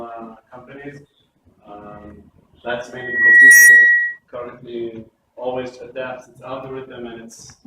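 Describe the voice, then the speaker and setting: A man in his thirties gives a halting class self-introduction full of ums. He is picked up from across a very echoey room, with clear noise underneath. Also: mid-range voice. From South Africa. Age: 30s. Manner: hesitant, many fillers. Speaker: MBA student. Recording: echoey room, from far off, noisy.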